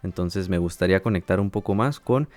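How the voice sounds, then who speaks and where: Patient male language teacher, lowish voice, dry close mic, soft music bed underneath. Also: no echo. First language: Spanish. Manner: calm, measured, with teacherly check-ins and light laughs. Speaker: language teacher and podcast host. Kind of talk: educational podcast monologue.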